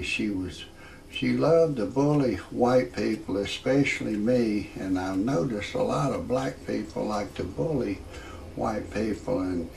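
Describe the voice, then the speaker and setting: Older guy in his 60s, gravelly grumbly comedy rant, halting, some background noise on a home recording. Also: lowish voice, a little mellow. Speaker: host of a parody report show. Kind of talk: rambling comedic monologue to camera.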